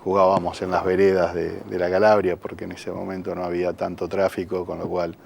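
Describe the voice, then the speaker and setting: A man's voice: deep voice, level tone, a little fast, gravelly, a little mellow, slight background noise. A man of about fifty with a Buenos Aires Argentine accent, answering interview questions conversationally and often ending phrases with a checking tag. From San Isidro, Buenos Aires, Argentina. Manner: frequent rhetorical "¿no?" tags. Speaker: local politician, council president, interview guest.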